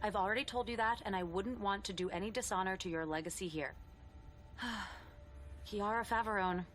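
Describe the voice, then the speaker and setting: A woman's voice: mid-range voice, moderate pace, fairly bright tone, close to an everyday voice. A woman as a formal, resolute churchwoman. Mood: polite, resolute. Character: formal, firm churchwoman dictating a letter. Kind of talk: video game voice acting, dictated message.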